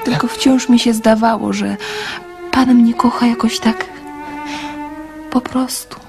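An actress playing a tender, heartbroken young woman in a radio play, pleading haltingly with a breathy voice. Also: fairly high pitch, fairly even intonation. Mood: agitated. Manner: halting, pleading, emotional delivery. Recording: radio drama with music underneath.

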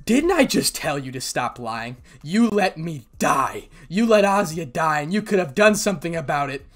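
Casting: wounded teenage boy played by a man, raised pitch, venomous, wary, accusing, for a story reading. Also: sing-song, very slow, fairly bright tone, breathy edge, heavily acted. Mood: bitter anger. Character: traumatized angry teenage boy, bitter and wary. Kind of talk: amateur story reading, acted dialogue.

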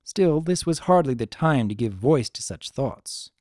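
A man narrating a piece of fan fiction as a dramatic reading, measured and expressive. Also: medium pitch, no room sound, clean studio sound. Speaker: story narrator.

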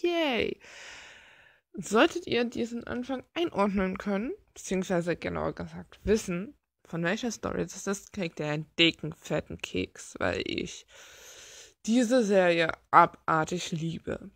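Slow-paced woman with a creaky voice. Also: medium pitch, a bit bright, dry recording, clean studio sound. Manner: reflective, with rhetorical questions. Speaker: video creator talking while drawing. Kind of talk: casual rambling monologue, musing.

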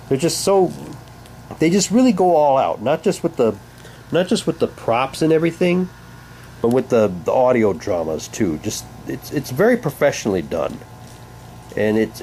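A man's voice: medium pitch, a little mellow, a little hiss or hum. A man giving casual, unscripted unboxing commentary, rambling and repeating himself, recorded indoors on a handheld camera's microphone. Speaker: hobbyist vlogger. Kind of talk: casual unboxing commentary, sometimes reading aloud. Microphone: handheld camera's built-in microphone.

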